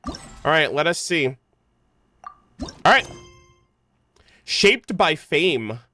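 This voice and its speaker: A man's voice: fairly high pitch, very animated, very slow, pushed and bright. A man doing casual, rambling livestream game commentary, informal and stumbling a little when he reads aloud. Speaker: game streamer. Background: video game music.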